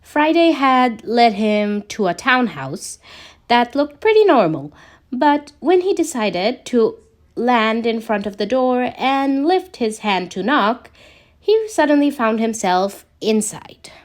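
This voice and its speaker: A woman's voice: on the high side, very animated, takes it slowly. A woman reading a scripted piece of fan fiction aloud as a storyteller. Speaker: podfic narrator. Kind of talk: scripted fan fiction narration, read slowly.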